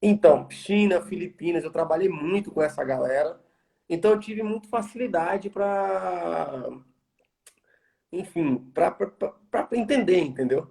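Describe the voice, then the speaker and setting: A man with a Bahian accent, a guest speaking in a casual interview, relaxed and slangy, often restarting his sentences. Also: high-pitched, measured pace, creaky. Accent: Bahian Brazilian Portuguese. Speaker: guest, environmental engineer.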